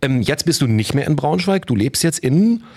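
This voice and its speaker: Husky, lively radio host. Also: male voice, a little high, normal speed. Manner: chatty, stumbling restarts. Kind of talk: casual radio interview. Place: radio studio.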